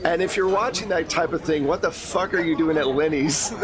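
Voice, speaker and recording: A man running a tabletop game as game master, moving between casual table talk and narration. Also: a little high.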